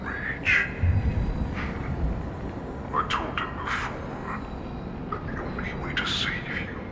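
An actor playing a menacing, hulking male wolf-beast warlord in a game cutscene, speaking slowly and persuasively. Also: very noisy, natural voice. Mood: tempting, menacing. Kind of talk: game voice acting. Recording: roomy sound under loud orchestral score.

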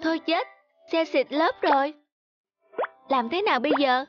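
A sweet, polite schoolchild from a cartoon, voiced by a woman. The voice is extremely high. Music plays softly behind it. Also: animated, moderate pace, muffled recording. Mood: worried. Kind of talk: dubbed cartoon storytelling.

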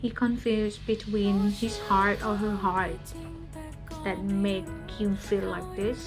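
A Thai woman with a Thai accent hosting a casual reaction video, speaking simple, halting English mixed with Thai. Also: medium pitch, steady tone. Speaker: YouTube reaction host. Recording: music playing behind the voice. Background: trailer music.

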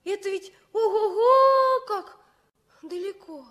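An actress playing a naive, lively little girl, exclamatory and childlike, in a 1960s Soviet radio play. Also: very high-pitched, takes it slowly, heavily acted. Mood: childlike wonder.

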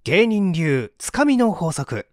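An audiobook narrator reads scripted prose in clearly phrased, measured sentences. The pitch moves up and down in a lively way, and the sound is dry, free of room echo. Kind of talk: scripted book reading.

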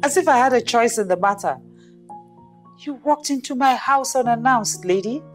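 A woman's voice: on the high side, moderate pace, faint music behind, close to an everyday voice. An actress playing an imperious, sardonic older mother-in-law, haughty and commanding. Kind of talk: film drama acting.

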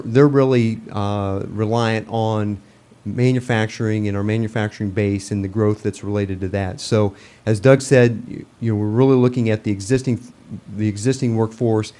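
Middle-aged man, deep warm voice, steady council presenter. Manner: steady, with many "you know"s. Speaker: economic development presenter. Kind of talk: report to city council. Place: council chamber, standing before seated audience.

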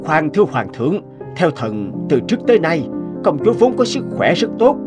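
An actor playing a scheming, obsequious cartoon court official with an insinuating, formal manner. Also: male voice, a little high, sing-song, normal speed, music in the background.

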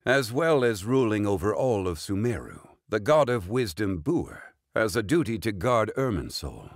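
A stately ancient god speaks in a very low, breathy-edged voice. The delivery is slow and formal, recorded close and dry for a game. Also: male voice, sing-song, no room sound. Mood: solemn. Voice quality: deep, measured, husky. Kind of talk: game character voice line.

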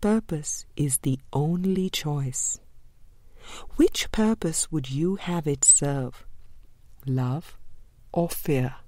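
A woman reading a spiritual book aloud in a measured, deliberate way, pausing between short phrases. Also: sits low, warm tone, no room sound. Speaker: audiobook reader.

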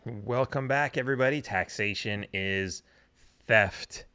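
A man's voice: fairly low pitch, unhurried, bright, forceful tone, clean tone, dry recording. Joking man hosting a video-call show. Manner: joking, teasing, laughs often. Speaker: podcast host. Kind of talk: casual interview chat. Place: home room. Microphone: video call with desk mic.

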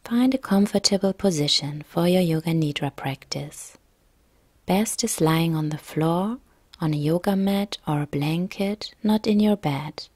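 A woman yoga nidra instructor narrating a guided relaxation meditation in a calm, soft, gentle, breathy way. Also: lowish voice, a little slow, no room sound.

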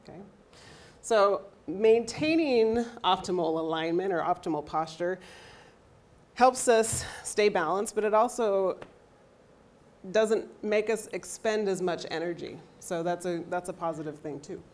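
Loud woman lecturing in a hall. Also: fairly low pitch, unhurried. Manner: loud, energetic, checks in with "okay?" often. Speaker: athletic trainer and lecturer. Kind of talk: public health lecture. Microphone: handheld microphone.

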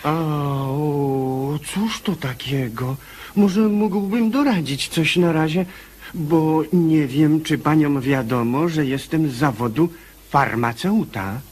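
An actor playing a fussy, obsequious pharmacist in a radio comedy, hesitant, stammering and very ceremonious. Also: male voice, fairly high pitch, takes it slowly, darkish tone, slightly rough. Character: fussy, obsequious male pharmacist, awkward unwanted guest.

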